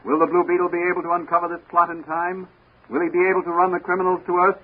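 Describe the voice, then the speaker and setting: A man's voice: high-pitched, little pitch movement, fast talker, slightly rough. A man playing a dramatic, suspenseful announcer narrating a 1940s radio serial. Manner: dramatic, declamatory. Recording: tinny 1940s radio recording with music.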